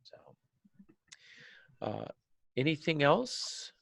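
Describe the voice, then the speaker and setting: A man hosting a casual podcast discussion, giving writing advice in halting phrases and repeating points for emphasis. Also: on the high side, very animated, creaky, a bit bright, dry recording, studio quality. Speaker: podcast host and fiction writer.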